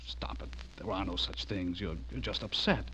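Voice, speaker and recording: A man's voice: sits low, moderate pace, slight background noise. An actor playing an earnest, rattled man who narrates his own horror story in a theatrical, suspenseful radio drama style. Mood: steadying, firm. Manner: theatrical, intense, suspenseful delivery. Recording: muffled radio drama over loud music.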